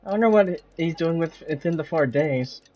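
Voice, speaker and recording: A man's voice: fairly high pitch, expressive, normal speed, darkish tone. A man streaming a video game, giving casual live commentary.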